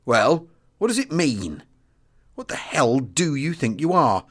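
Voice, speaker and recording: A man's voice: fairly high pitch, very animated, voice pushed up. A male narrator puts on a very senior English army officer in a memoir audiobook. He is scornful and belligerent. His delivery blusters, leaning long and hard on words with open contempt. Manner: blustering, contemptuous, drawn-out emphasis.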